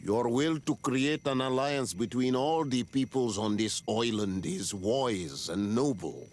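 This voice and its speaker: Slow, solemn island chieftain. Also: male voice, medium pitch. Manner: solemn, deliberate, ceremonial. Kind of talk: dramatic video game dialogue.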